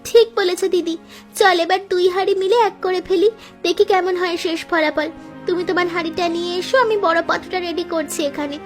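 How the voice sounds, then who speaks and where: A woman's voice: extremely high pitch, steady tone, brisk, faint music behind. A woman playing an eager, sentimental younger sister and daughter-in-law in a cartoon story, speaking earnestly. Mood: eager, happy.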